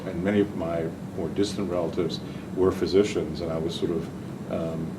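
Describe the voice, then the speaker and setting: A man's voice: sits low, level tone, normal speed. An older man from Hungary, a physician and researcher giving a talk, speaking hesitantly with many ums and restarts. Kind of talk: lecture-style talk. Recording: distant mic with audible room noise.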